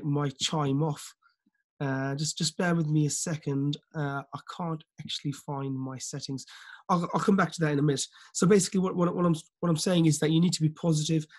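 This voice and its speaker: A man in his forties, slightly croaky, hosting a motivational video call for his team, talking earnestly with lots of fillers and restarts. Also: on the high side, a little mellow. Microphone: laptop webcam on a video call.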